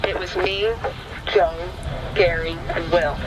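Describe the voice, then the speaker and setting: Male horror storyteller, high voice, unhurried delivery, clearly noisy old-time radio backdrop. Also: steady tone. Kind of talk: scripted first-person horror narration. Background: rain and thunder ambience.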